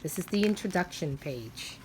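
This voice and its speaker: A woman teacher leading a read-aloud for young children, asking simple questions and pausing for them to think. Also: lowish voice, animated, a little hiss or hum, rough recording.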